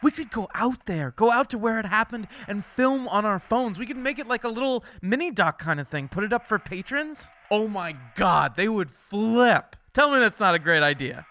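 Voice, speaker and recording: Bright and high-pitched, this is a cheeky young male co-host of a horror podcast. He is casual and eager, excitable in the way he talks, in an audio drama style. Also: normal speed, hardly any pauses, full character voice.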